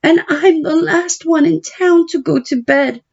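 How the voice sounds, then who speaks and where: A woman's voice: very high voice, unhurried, gravelly, darkish tone, lo-fi sound, slight background noise, voice pushed up, heavily acted. A woman voicing an earnest, overworked baker's daughter in a picture book. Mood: plaintive. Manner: plaintive, earnest. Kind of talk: picture book read-aloud character voice.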